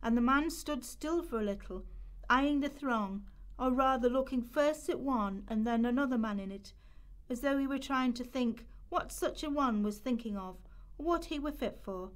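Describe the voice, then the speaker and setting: A woman with a fairly high voice narrates for a radio play. She reads descriptive literary prose from a script, as a storyteller. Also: normal speed.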